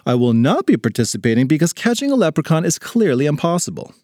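A man's voice: a little high, big pitch swings, fast talker, darkish tone, dry recording, studio quality. A man voicing a headstrong, sarcastic fifth-grade girl with a dramatic manner in a children's story. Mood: defiant, sarcastic. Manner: exasperated, dramatic delivery.

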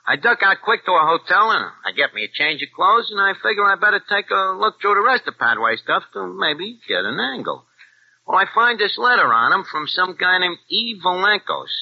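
A slangy small-time crook. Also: male voice, high-pitched, husky, full character voice. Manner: slangy tough-guy talk, bad grammar. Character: streetwise small-time crook posing as an Englishman. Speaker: narrator and lead character. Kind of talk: 1940s radio acting. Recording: dull old radio recording with music.

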